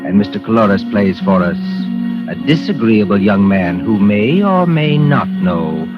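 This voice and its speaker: A man with a low voice narrates an old radio suspense show, theatrical and deliberate, with an ominous air. The recording is dull and vintage, with music behind him. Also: lively intonation. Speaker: announcer and narrator of a suspense series. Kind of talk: 1940s radio mystery narration. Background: dramatic music.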